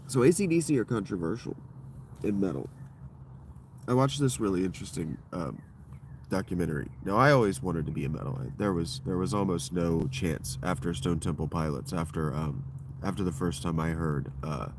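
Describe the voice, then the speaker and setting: A man with a deep voice hosting his own livestream, talking in a loose, digressive and profane monologue that keeps circling back on itself. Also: moderate pace, a little hiss or hum. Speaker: livestream host. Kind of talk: rambling solo livestream monologue.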